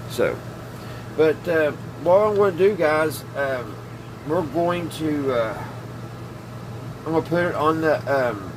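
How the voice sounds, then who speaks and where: A man hosting a casual hobby workbench video, rambling and hesitant as he thinks aloud. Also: mid-range voice. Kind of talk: casual workbench vlog about old radios. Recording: clearly noisy room, steady hum.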